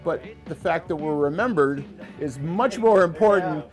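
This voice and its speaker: A man's voice: on the high side, expressive, moderate pace, soft background music. An American man in his sixties, a musician, casually reminiscing in an interview. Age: 60s. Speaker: musician and songwriter in a band.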